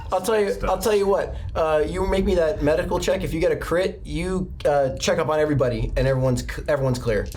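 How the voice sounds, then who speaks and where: A man's voice: fairly high pitch, fast, few pauses, a little mellow, slight background noise. A man running a tabletop roleplaying game, narrating scenes off the cuff in an informal, conversational way. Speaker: game master.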